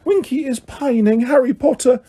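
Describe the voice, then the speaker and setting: A man's voice: very high voice, normal speed, mellow, slightly rough, pitched above the performer's own voice. A man voicing a small, timid and loyal male house elf who speaks in an earnest, anxious way with broken grammar. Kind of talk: audiobook character voice.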